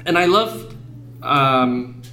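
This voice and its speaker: A man's voice: mid-range voice, lively intonation, extremely slow, bright, forceful tone, reverberant, slight background noise. A man hosting a podcast interview, chatty and enthusiastic, with lots of fillers. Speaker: podcast host. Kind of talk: casual interview conversation.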